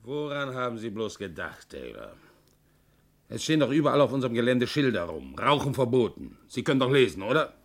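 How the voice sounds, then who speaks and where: An actor playing a stern, irritable company boss, brusque and reproachful, in a 1960s radio drama. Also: male voice, mid-range voice, very animated, brisk. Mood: angry, scolding. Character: stern, irritable male boss of a haulage firm.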